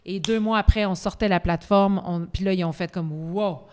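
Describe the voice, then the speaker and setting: A middle-aged woman with a Quebec French accent co-hosts a relaxed talk show. She tells her stories in colloquial, everyday speech, acting out bits of dialogue as she goes. Her pitch sits in an ordinary range for a woman. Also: a little fast, clear, resonant tone. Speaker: podcast co-host. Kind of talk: casual chat before a live audience.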